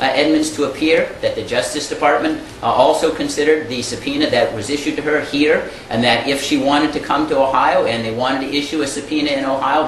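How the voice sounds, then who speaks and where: A man's voice: mid-range voice, fairly bright tone. A man acting as an attorney, making formal, argumentative objections during a legal deposition. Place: deposition room. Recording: echoey room with faint noise.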